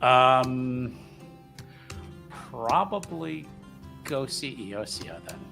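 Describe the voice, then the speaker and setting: A man's voice: medium pitch, lively intonation, extremely slow, vocal fry, over music. A man playing a tabletop roleplaying game, speaking casually and hesitantly as he thinks aloud.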